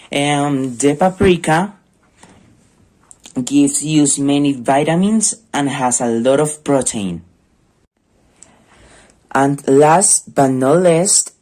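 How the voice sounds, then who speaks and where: A male presenter with a Colombian Spanish accent reads his cooking segment slowly, pausing on fillers as he goes. His voice sits at a middle pitch. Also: a little mellow, rough recording. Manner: slow, hesitant reading with fillers. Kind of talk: reading a school-project cooking segment.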